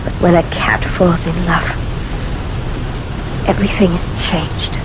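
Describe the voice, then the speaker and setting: A woman's voice: fairly low pitch, fairly even intonation, natural voice, low-quality recording. A woman as an intense, domineering radio-drama villainess. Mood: bitter, brooding. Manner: commanding, dramatic, deliberate. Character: intense, domineering woman, bitterly jealous over a cat. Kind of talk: old-time radio drama acting. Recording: old radio recording with music.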